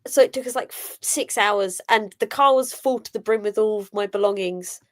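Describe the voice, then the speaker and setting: A woman's voice: medium pitch, moderate pace. A young woman, a panelist telling a rambling, exasperated story. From Essex, England. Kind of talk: casual panel chat.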